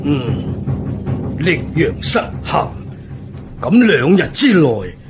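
An actor playing an indignant, excitable general in a historical radio drama, declaiming with a husky voice. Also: male voice, on the high side, slow, over music. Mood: grave, weary. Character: blustering, indignant turncoat army general.

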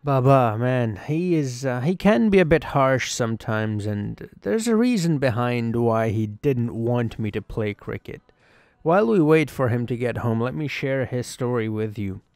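A man playing an excitable, earnest young schoolboy cricketer who tells his story conversationally, with emphatic pauses, in a dramatised game story. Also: medium pitch, no echo, clean studio sound. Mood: earnest, reflective. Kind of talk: first-person dramatised narration over game cutscenes.

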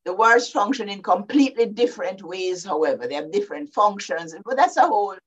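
An older Jamaican woman speaking as a panelist over a video call from her home study, deliberate and explanatory. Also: medium pitch, lively intonation. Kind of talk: measured panel talk. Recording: dull lo-fi video call audio.

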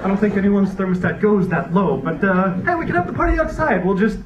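A man's voice: high-pitched, animated, fast, heavy background noise. Young man doing stand-up on stage. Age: young adult. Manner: rambling, wry, with frequent restarts. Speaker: stand-up comedian. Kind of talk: stand-up comedy set. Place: dark theater stage before a laughing audience. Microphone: handheld stage mic, recorded from a far camera. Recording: roomy, distant, dull hall recording with crowd laughter.

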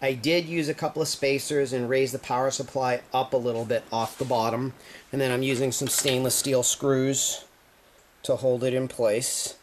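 DIY vlogger, male, mid-pitch, loose self-correcting explanations, camera mic in a garage with faint hiss. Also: fairly even intonation, moderate pace. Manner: rambling, self-correcting explanations while filming. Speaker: DIY video maker. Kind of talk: casual workshop build walkthrough. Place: indoor garage workshop.

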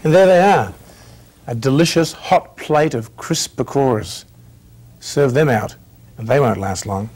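A man's voice: medium pitch, measured pace, a little mellow, slight background noise. A man hosting a cooking show, talking the viewer step by step through a recipe. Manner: friendly step-by-step instructions. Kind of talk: cooking demonstration.

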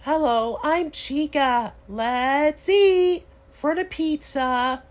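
A man's voice: very high voice, very slow, pitched above the performer's own voice, exaggerated voice. A man voicing a cheery female animatronic chicken from a horror game.

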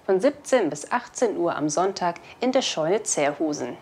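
A woman's voice: on the low side, lively intonation, normal speed, darkish tone, breathy edge. A young woman reading scripted news as a video presenter, on a lapel mic. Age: young adult.

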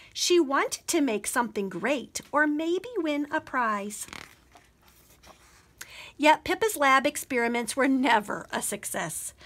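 A woman reading a picture book aloud to young children as a story hour host, expressive and measured in her telling. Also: high-pitched.

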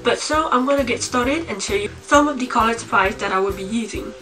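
A young woman of about eighteen with a slight cold, talking casually and haltingly to camera as she shows things in a room at home. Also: on the high side, fairly bright tone. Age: young adult, about 18. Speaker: vlogger. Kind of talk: casual show-and-tell to camera. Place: small home room, indoors. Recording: distant mic, music behind.

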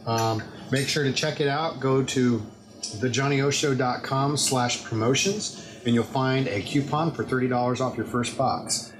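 A man in his forties, host of a home show, chatting casually in his kitchen while tasting a meal, his voice relaxed and trailing off quietly at times. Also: medium pitch, moderate pace, over music. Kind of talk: casual food review.

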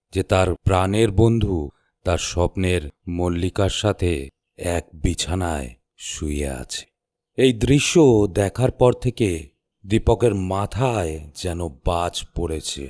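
A man narrating a scripted horror story in measured, suspenseful short phrases. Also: low-pitched, darkish tone, no room sound, clean studio sound.

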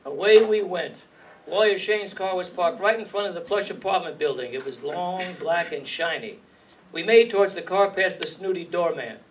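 An actor playing a slangy, sardonic hard-boiled hero who narrates a 1950s radio noir, recorded live in a hall. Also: male voice, high voice, normal speed, far from the mic, a little hiss or hum, close to an everyday voice. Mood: narrating. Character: wisecracking, tough hard-boiled gumshoe and narrator. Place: stage in an indoor meeting hall, live reading.

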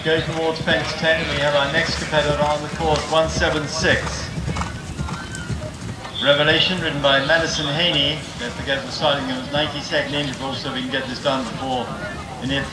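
Male show announcer, matter-of-fact calls, slightly high voice booming through a distant outdoor speaker. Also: brisk, over a noisy crowd. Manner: steady, matter-of-fact calling of riders and fences. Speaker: event announcer. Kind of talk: public address announcing of horse trials. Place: outdoor horse show grounds. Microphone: loudspeaker heard from a distance. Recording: echoey loudspeaker from far off, loud outdoor noise.

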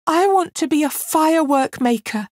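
A woman voicing a desperate young girl in a storybook reading, high and gasping. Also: lively intonation, moderate pace, no echo, studio quality. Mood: pleading.

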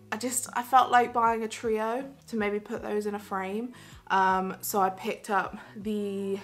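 A young woman in her twenties, chatting excitedly and giggling through a haul vlog, filmed in a small bedroom by a camera facing her. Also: fairly high pitch. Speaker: vlogger.

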